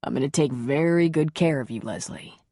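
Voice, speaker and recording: A woman voicing a big, gruff, tender man seducing his lover in a low, reassuring murmur for an audiobook. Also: normal speed, mellow, no room sound, studio quality.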